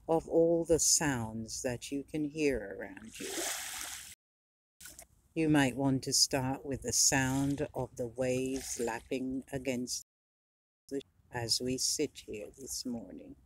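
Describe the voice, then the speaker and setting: A meditation guide speaking slowly and soothingly, with long pauses, leading a guided relaxation and reading affirmations aloud. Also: medium pitch, a little hiss or hum. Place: outdoors near the sea.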